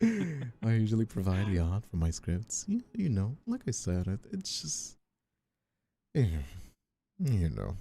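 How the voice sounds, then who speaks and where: A man's voice: on the low side, huge pitch swings, measured pace, gravelly, warm tone, no echo. A man hosting a casual, rambling livestream chat, speaking in an earnest, informal way and often repeating himself as he finds his words. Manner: hesitant, repetitive, informal, earnest. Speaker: ASMR creator and streamer hosting a chat. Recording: faint music behind the voice. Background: faint music.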